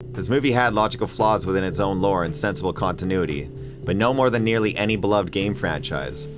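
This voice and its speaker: A man's voice: low voice, brisk. A man delivering an opinionated, emphatic scripted video essay as host, with casual swearing. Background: music bed under voice-over.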